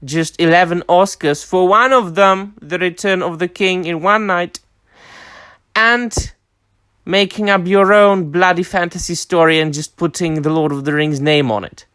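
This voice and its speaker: A man's voice: high-pitched, steady tone, a little slow, a bit bright, clean tone, no echo, clean studio sound. A man hosting a review vlog, enthusiastic and emphatic, with many fillers. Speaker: host. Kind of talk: book and film review vlog.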